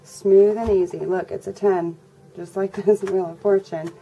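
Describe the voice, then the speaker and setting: A congested woman giving a casual tarot reading to her viewers in a flat, monotone way. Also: unhurried. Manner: monotone, low-energy delivery. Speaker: tarot reader. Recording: noisy recording with birds chirping. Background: birds and background noise.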